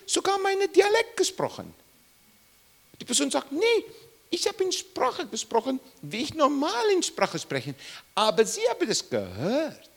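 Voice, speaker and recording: Emphatic preacher man, swooping pitch. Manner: emphatic, rising and falling sharply, repeats questions to listeners. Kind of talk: Bible teaching sermon.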